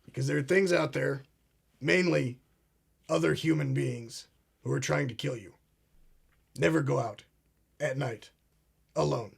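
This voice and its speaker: A man's voice: mid-range voice, measured pace, gravelly, long pauses, slightly rough, exaggerated voice. An actor playing a pompous, paranoid male conspiracy talk show host who speaks in a grandiose, ominous way. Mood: grave. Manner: grandiose, ominous, addresses "ladies and gentlemen". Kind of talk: comedic mock talk show.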